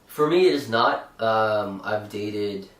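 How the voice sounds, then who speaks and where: A man's voice: fairly low pitch, slow, a bit bright. Chatty young Canadian guy, echoey room. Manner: chatty, rambling with fillers and restarts. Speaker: vlog co-host. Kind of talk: casual chat. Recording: echoey room, mic at a distance.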